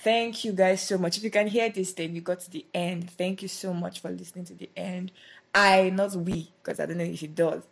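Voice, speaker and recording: Playful woman, low for her sex. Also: fairly even intonation, a little fast, vocal fry. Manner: playful, brief agreeing replies. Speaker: co-host. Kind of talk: casual podcast chat.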